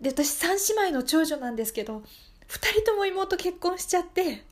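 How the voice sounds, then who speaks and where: Woman acting high-voiced anxious unmarried woman nearing thirty. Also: very animated, normal speed. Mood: tearful, jealous. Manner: rambling, apologetic, emotional. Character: anxious, jealous unmarried woman client, nearly thirty, pouring out woes. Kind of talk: improvised radio drama acting.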